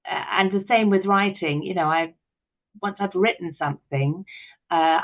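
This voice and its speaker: A middle-aged British woman chatting candidly and with self-deprecation as an interview guest, reflecting on her own experiences. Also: deep voice. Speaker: podcast guest, actress, director and writer. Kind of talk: conversational interview, reflective personal anecdotes. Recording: thin phone-like call audio.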